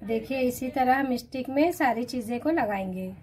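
A woman's voice: a little high, normal speed, darkish tone. A woman with an Indian accent giving a step-by-step recipe voice-over as a home cooking host. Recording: clearly noisy home recording.

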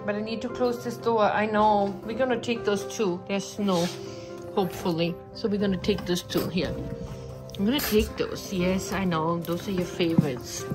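A woman's voice: medium pitch, normal speed, over music. A woman talking casually to her dogs at home in doting baby talk, repeating her phrases, recorded on a handheld phone. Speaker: pet owner narrating home video. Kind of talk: casual pet-directed chatter. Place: indoors at home, hallway. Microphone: handheld phone camera, speaker behind it.

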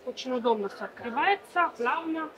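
A woman's voice: sits high, animated, moderate pace, a bit bright, poor audio. A woman in her thirties presenting furniture at a fair stand, explaining fluently with many small fillers. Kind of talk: product demonstration.

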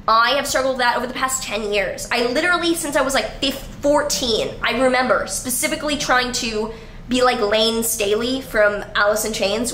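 A young woman in her twenties with a high, bright voice, chatting casually and rambling to a handheld camera in her apartment, with lots of fillers and casual swearing. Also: slight background noise. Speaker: vlogger. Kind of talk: casual vlog chat. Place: apartment living room. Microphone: handheld camera at arm's length. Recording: echoey room with faint ambience.

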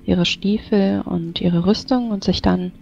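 A woman's voice: mid-range voice, fairly even intonation, measured pace, gravelly. A woman narrating a tabletop roleplaying game as game master. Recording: slightly dull, music behind. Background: soft music.

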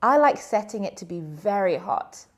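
A woman presenting a church segment, trading brisk, playful lines with her husband in a studio. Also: medium pitch, lively intonation. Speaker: church leader. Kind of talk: scripted back-and-forth with her husband. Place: studio set.

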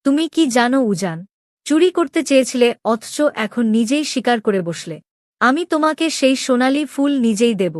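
A woman plays a young princess in a cartoon drama, her voice fairly high and closely recorded. She is proud and wounded in love, pressing her questions with open, demanding emotion. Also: expressive, moderate pace, a little mellow, no room sound, clean studio sound, natural voice. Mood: earnest, hopeful. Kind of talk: dramatic Bengali animated story dialogue.

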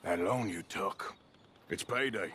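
An actor playing a menacing, sardonic outlaw debt collector in a video game, with a gruff American accent. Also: male voice, low voice, a little slow, a bit bright, a little hiss or hum. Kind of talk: video game cutscene acting.

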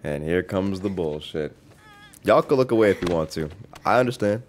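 Deep-voiced man, slangy gaming commentary. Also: animated, normal speed, a little hiss or hum. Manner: slangy, profane. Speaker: gamer reacting to gameplay. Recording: game music behind the commentary.

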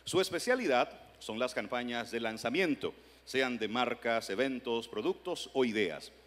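A man's voice: mid-range voice, expressive, moderate pace, a bit bright. A man emceeing a conference, reading a formal speaker introduction from the stage into a handheld mic. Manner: formal, read-aloud introduction. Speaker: event emcee. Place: large conference hall stage. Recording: long hall reverb.

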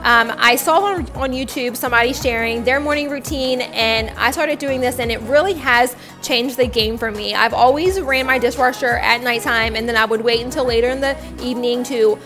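A young woman with a high voice narrates her home cleaning routine as a voice-over. She sounds chatty, friendly and upbeat. Soft music plays faintly under her words. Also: moderate pace, hardly any pauses. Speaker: cleaning vlogger, stay-at-home mom. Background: faint background music.

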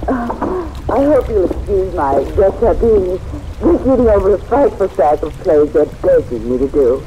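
An actress playing a sardonic, boozy former stage star with an arch, theatrical drawl in an old-time radio drama. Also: female voice, fairly low pitch, animated, a little slow, narrow, tinny audio, noisy background. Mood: grand, affected. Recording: old radio broadcast under loud rain.

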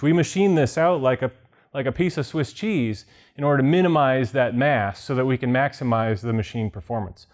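Higher-pitched man giving a technical explainer. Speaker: company executive presenting a technical explainer. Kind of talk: explanatory whiteboard lesson. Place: indoor video studio with whiteboard.

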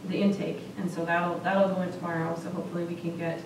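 A woman's voice: on the low side, flat delivery, quick pace. A woman reporting and answering questions at a council meeting in a polite, informal way. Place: small council chamber, indoors. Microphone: distant camera microphone. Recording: echoey, noisy room from far off.

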